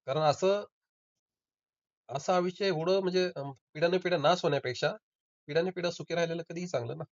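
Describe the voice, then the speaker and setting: A man's voice: on the high side, quick pace. A man arguing insistently in an informal phone debate, often repeating himself. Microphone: recorded phone call. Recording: dull lo-fi call recording.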